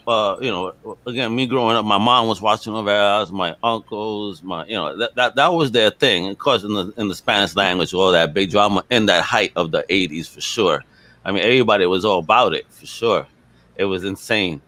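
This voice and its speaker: A man's voice: fairly low pitch, bright, forceful tone, no echo. A man hosting a podcast in a casual, enthusiastic, slangy chat style. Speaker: podcast host.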